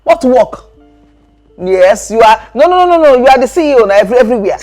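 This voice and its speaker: A woman playing a loud teasing best friend. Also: a little high, fast talker, faint music behind, natural voice. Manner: teasing, dramatic, animated outbursts. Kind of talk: skit drama acting.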